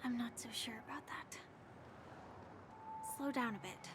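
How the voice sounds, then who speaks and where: A woman playing a gentle, wistful young woman in game story dialogue, speaking softly with a hushed, breathy delivery. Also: fairly high pitch, a little slow, background noise, lightly acted. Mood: wistful, tender. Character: gentle, soft-spoken young woman, quietly wistful and teasing. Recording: music behind the voice.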